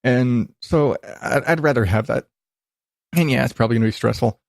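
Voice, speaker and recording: A man's voice: medium pitch, moderate pace, vocal fry, dry recording, studio quality. A man hosting a solo podcast, talking casually and informally in a self-deprecating, sweary, rambling way. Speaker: podcast host.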